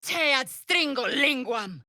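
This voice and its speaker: A woman playing a fierce, proud, disdainful young githyanki warrior in a video game, speaking in a husky, breathy, imperious and clipped way. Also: sits high, expressive, bright, forceful tone, dry recording, clean studio sound, heavily acted. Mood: shouted incantation. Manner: imperious, clipped, deliberate, formal.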